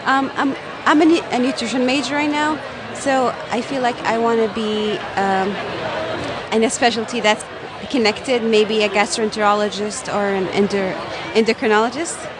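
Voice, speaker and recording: A woman's voice: a little high, steady tone, moderate pace, crowd noise, noisy background. Young woman at a busy conference. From Saudi Arabia. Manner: friendly, hesitant. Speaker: student interviewee. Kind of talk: casual interview answers. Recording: busy crowded conference hall.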